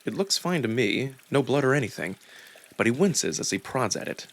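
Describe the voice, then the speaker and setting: A man reading a visual novel aloud as narrator, in an expressive, deliberate first-person storytelling style. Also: medium pitch.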